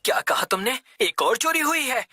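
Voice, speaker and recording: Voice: high voice, big pitch swings, normal speed, background noise. An actor in a Hindi cartoon dub playing an overzealous detective who declaims with great melodrama. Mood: determined.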